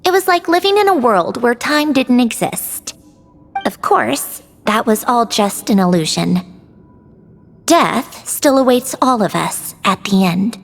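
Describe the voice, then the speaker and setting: A self-assured young woman mechanic from a video game. Her voice is fairly high and very expressive in pitch, brisk and wry yet quietly tender, with music behind it. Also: creaky. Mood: wistful. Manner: brisk, instructing, wry, reflective.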